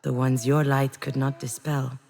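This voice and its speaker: A reflective, grieving mother speaks in a low-pitched voice that rings with long reverb. Her delivery is introspective and measured, a confessional first-person monologue from a narrative video game. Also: level tone, gravelly, a little mellow, exaggerated voice. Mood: somber. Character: reflective grieving mother, a screenwriter, recalling memories. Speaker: narrating protagonist of a visual novel. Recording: long reverberant hall echo.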